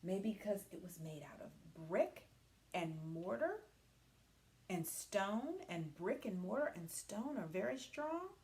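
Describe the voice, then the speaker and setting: A woman teacher leading a read-aloud lesson for young children, speaking patiently and pausing after her questions. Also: medium pitch, sing-song, darkish tone.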